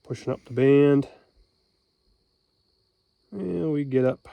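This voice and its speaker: A man's voice: medium pitch, takes it slowly, gravelly, dry recording, narrow, tinny audio. A man talking casually and informally in a folksy way, explaining things as he goes. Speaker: homesteader and amateur radio operator vlogging.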